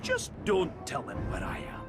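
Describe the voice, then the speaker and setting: An actor playing a jovial, eccentric dwarf tinkerer in a video game, with a folksy, teasing manner. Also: male voice, medium pitch, huge pitch swings, normal speed. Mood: breezy, encouraging. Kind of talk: animated video game character acting. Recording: roomy reverb under game music.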